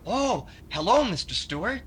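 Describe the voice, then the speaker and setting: Man playing high-voiced naive young sidekick. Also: very animated, normal speed, a bit bright, a little hiss or hum. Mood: eager, earnest. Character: naive, cheerful, scatterbrained young male sidekick. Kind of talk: 1950s radio comedy acting.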